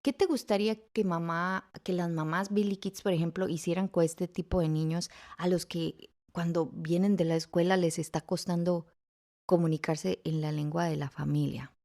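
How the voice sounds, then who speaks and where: Low-voiced mother gently interviewing. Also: fairly even intonation, moderate pace. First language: Spanish. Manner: gently prompting, suggesting words. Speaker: podcast host, mother. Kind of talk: podcast interview.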